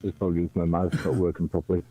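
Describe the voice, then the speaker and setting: A man on a headset as a stream guest, with a muffled bassy voice, mumbling and wry. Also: level tone, racing, mellow, no room sound. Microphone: headset used for phone calls. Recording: muffled phone-like headset audio.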